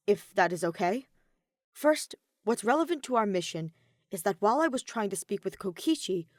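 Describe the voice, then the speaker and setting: A woman's voice: mid-range voice, no room sound, studio quality, lightly acted. A woman voicing a polite, earnest male robot in a story, with formal, precise wording.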